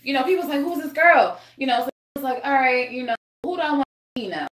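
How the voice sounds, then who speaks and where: A woman's voice: high-pitched, animated, fast talker. A woman singer talking casually as a podcast guest, rambling and swearing, with many restarts. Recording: echoey room.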